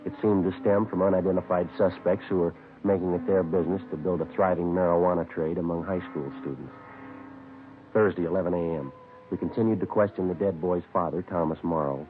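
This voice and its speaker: An American actor playing a terse, sober police sergeant who narrates his case in a deadpan, clipped way, in vintage radio crime drama style. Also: sits low, lightly acted. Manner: deadpan, clipped, matter-of-fact. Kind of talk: 1940s-50s radio crime drama acting. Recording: muffled, noisy vintage radio recording.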